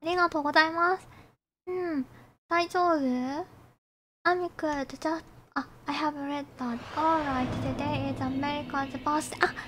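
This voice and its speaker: A woman's voice: sits high, steady tone, normal speed, fairly bright tone, slight background noise. A woman from Japan with a Japanese accent, chatting casually and politely with viewers as she livestreams a game. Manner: polite, thanks and greets viewers often. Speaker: game streamer. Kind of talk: casual livestream chat while gaming.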